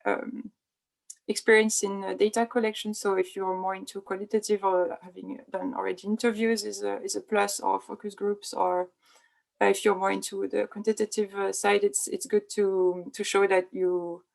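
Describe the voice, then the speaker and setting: Young woman researcher, halting delivery with many fillers, gravelly fry, video call. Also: mid-range voice, little pitch movement. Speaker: think tank researcher. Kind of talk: online panel talk.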